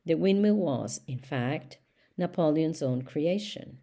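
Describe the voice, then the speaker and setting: A woman reading a storybook aloud as scripted narration, with measured, deliberate phrasing. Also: deep voice, expressive, mellow. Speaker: audiobook reader.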